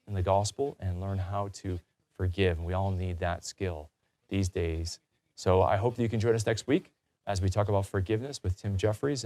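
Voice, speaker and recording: A man's voice: lowish voice, a little mellow. A man hosting a religious talk show in a conversational, earnest and encouraging way.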